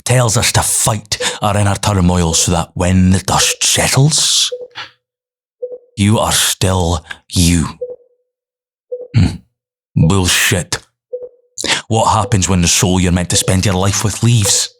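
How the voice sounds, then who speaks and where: A man's voice: low voice, slow pace, pauses often. A man acting a bitter, despairing, defiant character in an audio drama. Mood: brooding, cynical. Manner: brooding, profane, defiant. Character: bitter, despairing suicidal man narrating his own story.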